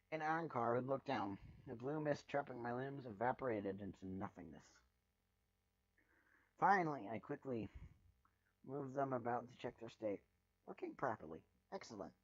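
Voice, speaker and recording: A man's voice: medium pitch, dry recording. Male fan fiction narrator, sardonic and exasperated, dry close sound, slightly lo-fi. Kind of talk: dramatic first-person story narration.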